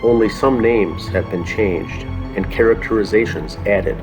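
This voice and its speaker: A man reading scripted announcer narration in old time radio style, solemn and measured in his phrasing. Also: on the low side, poor audio. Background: music.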